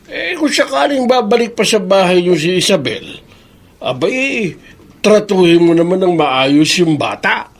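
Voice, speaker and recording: An actor playing a kindly, concerned old grandfather in a Filipino radio drama, speaking in hesitant, drawn-out folksy phrases. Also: high-pitched, big pitch swings, full character voice. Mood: reproachful. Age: older.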